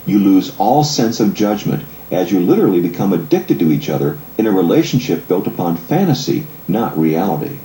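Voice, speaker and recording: A man reading scripted audiobook narration in a measured, articulate, explanatory way. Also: sits low, dark tone, roomy sound, a little hiss or hum, rough recording.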